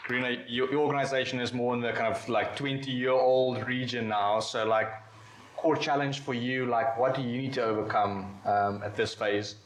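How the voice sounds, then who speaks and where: A man's voice: medium pitch, fairly even intonation, normal speed, a crowd in the background, background noise. A man moderating a conference panel in a casual, chatty way. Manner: chatty, many fillers. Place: conference venue. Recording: echoey event room.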